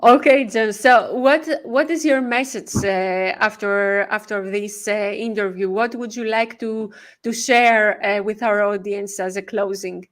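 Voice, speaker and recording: A woman hosting a live podcast interview, speaking briskly with frequent filler pauses. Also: mid-range voice, fairly even intonation. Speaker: podcast host.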